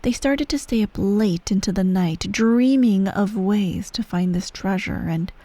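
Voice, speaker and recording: Woman playing a breathy, slow-spoken sinister empress mother. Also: mid-range voice, no echo, close to an everyday voice. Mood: hushed storytelling. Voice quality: soft, breathy, often near whisper. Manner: measured, formal, veiled threats, breathy sighs. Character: menacing, coolly doting adult empress, adoptive mother. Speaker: ASMR roleplay performer. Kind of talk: soft ASMR bedtime roleplay.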